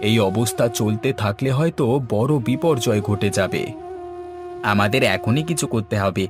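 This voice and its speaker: A man's voice: lowish voice, moderate pace, music in the background, natural voice. A man voicing a concerned, earnest village man who explains things in scripted cartoon dialogue. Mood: worried. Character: concerned village man urging neighbours to work together.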